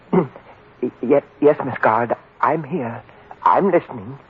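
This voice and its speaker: An actor playing a fussy, enthusiastic nature study leader with precise, formal diction in an old radio drama. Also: male voice, medium pitch, lively intonation, takes it slowly. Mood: anxious. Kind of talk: 1940s radio drama acting. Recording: tinny, band-limited old radio recording, hiss.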